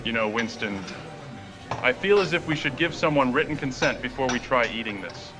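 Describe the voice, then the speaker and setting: A man's voice: mid-range voice, expressive, moderate pace, lightly acted, rough recording. An actor voicing a bookish, literal-minded male scientist ghost hunter with precise, formal phrasing in a motion comic. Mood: precise, matter-of-fact. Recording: loud music bed under voice.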